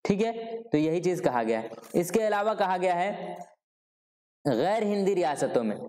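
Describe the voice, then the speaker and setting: A man's voice: high voice, sing-song, unhurried, dark tone, in a large hall. A male teacher from Bihar, giving an exam-prep lecture and answering his own questions. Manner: repetitive, asks questions and answers them himself.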